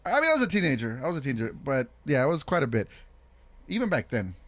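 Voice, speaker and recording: A man's voice: mid-range voice, big pitch swings, quick pace, no room sound. A man from the United States hosting a podcast in casual chat, putting questions informally and often rephrasing himself. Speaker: podcast host.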